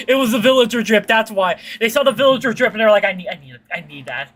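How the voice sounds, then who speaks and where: A woman chatting casually as a streamer, telling stories in a slangy, sweary way and acting out what people said. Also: fairly quick. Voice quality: fairly deep-sounding for a woman. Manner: slangy, sweary, animated retelling with acted-out quotes. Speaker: streamer chatting with viewers while gaming. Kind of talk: casual chat, rambling storytelling.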